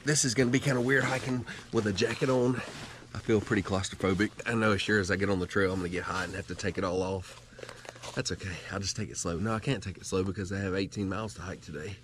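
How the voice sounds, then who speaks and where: Fast-talking man in his thirties, rambling vlog. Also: low voice, steady tone, noisy background. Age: 30s. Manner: rambling, loose, many "like" fillers, blunt and profane. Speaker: hiking vlogger. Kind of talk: casual vlog talk to camera. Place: outdoors on a cold winter lake trail and in a parked truck. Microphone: handheld action camera.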